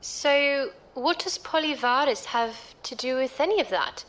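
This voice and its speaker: A woman's voice: high voice, expressive, measured pace, fairly bright tone. An actress playing a curious, playful female code breaker and pirate sidekick in an audio drama, with a questioning manner. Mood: puzzled, probing.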